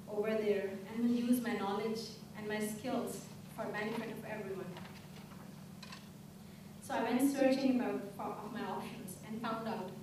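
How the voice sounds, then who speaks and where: A woman from Pakistan telling her immigration story in a steady, reflective way. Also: mid-range voice. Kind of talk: personal testimony. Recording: echoey room, distant mic, noisy.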